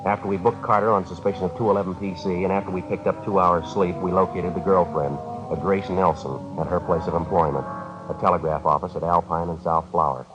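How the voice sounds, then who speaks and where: Fast, low-voiced, terse police detective played by a man. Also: fairly even intonation, natural voice. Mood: matter-of-fact. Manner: clipped, matter-of-fact delivery. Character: terse, businesslike male police detective narrating his case. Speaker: lead actor and narrator. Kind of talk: 1950s radio drama acting, scripted narration. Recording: dull 1950s radio recording, music behind.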